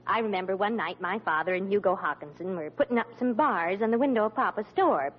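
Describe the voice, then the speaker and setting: A woman's voice: medium pitch, animated, fast talker, slightly rough. An actress playing a chatty wife who tells a story of her husband's younger days in a vintage radio comedy. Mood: reminiscing. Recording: muffled old radio recording, faint noise.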